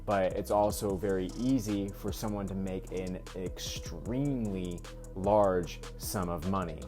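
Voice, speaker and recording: A man in his thirties talks casually to his viewers, his voice sitting a bit low. He rambles, circling back with fillers and repeated words. The room rings with echo, and soft music plays faintly underneath. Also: steady tone, a little slow. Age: 30s. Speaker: vlogger. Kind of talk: casual talk to camera. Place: indoors at home work space.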